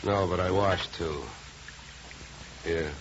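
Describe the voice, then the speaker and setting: Wry insurance detective, American, deep voice, wisecracking, vintage radio drama, dull lo-fi sound. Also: male voice, fairly even intonation, slow pace, background noise. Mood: dry, probing. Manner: sardonic, wisecracking. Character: wry, hard-boiled male insurance investigator. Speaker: lead actor and narrator. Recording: muffled old radio recording, music behind.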